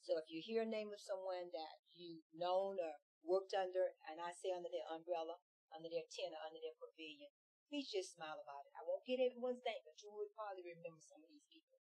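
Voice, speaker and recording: A woman's voice: fairly low pitch, quick pace, mellow, dry recording, poor audio. A woman speaking for a committee in an informal public presentation, repeating and rephrasing for emphasis. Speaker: committee spokesperson. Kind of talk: informal public introduction speech.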